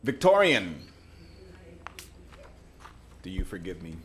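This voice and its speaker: A man's voice: deep voice, sing-song, slow pace, a little hiss or hum. A man chairing a council meeting, calling speakers forward in a polite, lightly joking manner.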